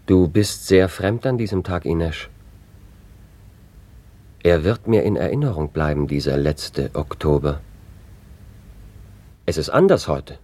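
An actor playing a gentle, pleading fiancé in a radio play, speaking softly and coaxingly. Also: male voice, deep voice, animated, slow pace, pauses often, full character voice. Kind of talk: radio drama acting.

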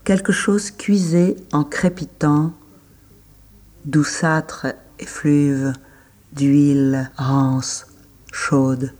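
A man reads literary prose for an audio drama in a slightly high voice. His pace is very slow and measured, with pauses that set each short phrase apart. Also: level tone, a little mellow. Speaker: literary reader.

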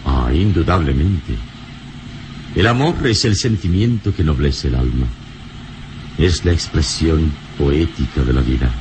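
An actor playing a serene, gallant adventure hero in an old radio serial, speaking in an unhurried, courtly, philosophical way. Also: male voice, very deep voice, lively intonation, background noise. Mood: solemn, romantic.

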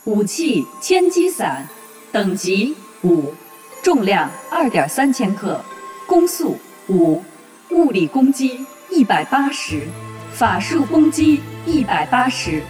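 A woman's voice: fairly high pitch, very animated, takes it slowly, a little mellow, roomy sound, distant mic, soft background music. A woman voicing a game's system announcer in an audio drama, clipped and formal. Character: female game system announcer voice, flat.

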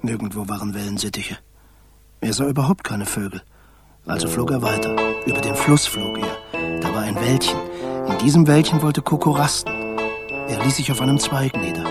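A kindly stork voiced by a man tells a radio-play tale to a child. The voice sits low and moves at a gentle, measured storybook pace, with loud music behind it. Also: lightly acted. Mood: suspenseful storytelling. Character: kindly male stork narrating a letter to a child.

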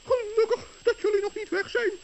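An actor voicing a small, kind-hearted, anxious gnome in a children's radio play, with a squeaky, stammering delivery. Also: steady tone, brisk, background noise. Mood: distressed, worried. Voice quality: squeaky, creaky. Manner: stammering, worried. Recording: dull old radio recording.

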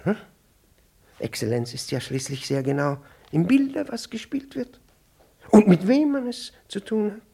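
An actor playing a flustered, pompous middle-aged diplomat, evasive and irritable, stammering and repeating himself in a 1960s German radio play. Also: male voice, on the high side, gravelly, dark tone, breathy edge, full character voice. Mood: blustering. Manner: stammering, repetitive, blustering.

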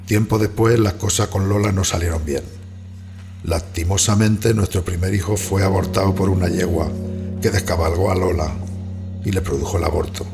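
A brooding rural man with a low voice. He is plain-spoken, stubborn and respectful to his betters, in a radio drama laid over strong music. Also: steady tone, moderate pace, gravelly. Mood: somber. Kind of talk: radio play acting and first-person narration.

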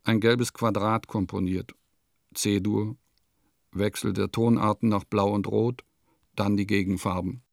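An actor playing a sardonic, musing composer of the nineteenth century in a radio play, with measured, formal diction and a gravelly voice. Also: male voice, lowish voice, steady tone, no echo, studio quality. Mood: dry, terse. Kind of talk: literary radio play monologue.

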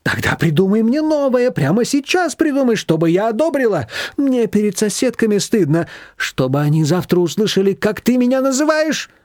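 A man's voice: high voice, sing-song, normal speed, few pauses, darkish tone, no room sound, studio quality, pitched above the performer's own voice. A man voicing a doting, fussy elderly mother-in-law who scolds and laments theatrically. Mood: indignant.

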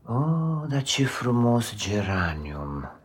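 An actor playing a courtly, falsely friendly gentleman suitor in a 1950s Romanian radio play, husky and unctuous. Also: mid-range voice, big pitch swings. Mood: gallant. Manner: unctuous, formal, gallant.

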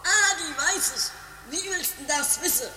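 A woman's voice: high voice, lively intonation, unhurried, bright, forceful tone, heavily acted. A woman voicing a brash, impatient, defensive young man in an amateur comedy radio play. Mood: blunt. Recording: reverberant cave echo with music.

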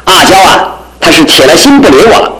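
A man's voice: fairly high pitch, big pitch swings, fairly quick, a loud crowd, rough recording. A man acting in a radio drama as an impatient but loyal friend, speaking in exclamations. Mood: resigned.

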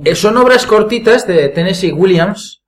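A man's voice: high-pitched, lively intonation, normal speed. Spanish man chatting with friends. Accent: Spain Spanish. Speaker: podcast panelist and amateur actor. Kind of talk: casual group chat, telling anecdotes.

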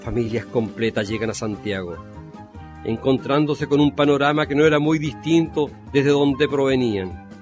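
A man giving formal, measured, scripted historical narration for a radio drama. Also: medium pitch. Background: music.

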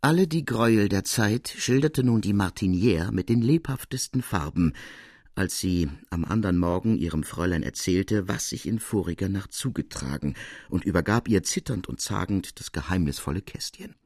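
Guy narrating classic fiction, deep n a bit husky, measured, dry studio sound. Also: animated, a little mellow, no echo. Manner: measured, articulate. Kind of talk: literary audiobook narration, expressive.

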